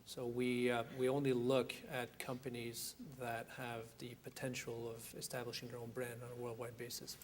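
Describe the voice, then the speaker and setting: A man answering on a venture capital panel in a measured, matter-of-fact way. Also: medium pitch, monotone, slight background noise.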